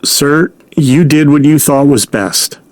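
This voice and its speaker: An actor playing a deferential, urgent presidential aide in an audio drama, formal and earnest, with an American accent. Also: male voice, fairly high pitch, slow pace, no room sound. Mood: concerned.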